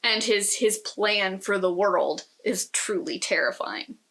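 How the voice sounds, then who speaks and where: A woman's voice: mid-range voice, slow pace, fairly bright tone. A woman co-hosting a podcast, casually talking through a book in a thoughtful, opinionated way. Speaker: podcast co-host.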